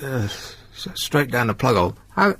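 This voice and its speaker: A man's voice: medium pitch, very animated, normal speed, a bit bright, husky, no echo. A man hosting a live radio phone-in, chatting and telling stories in a dry, exasperated way. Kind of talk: casual live phone-in chat and anecdote.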